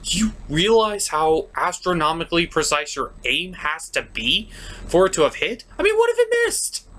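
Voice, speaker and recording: A man's voice: a little high, very animated, moderate pace, fairly bright tone. Male review host, comedic recap style. Emphatic, exasperated, swooping delivery over faint noise.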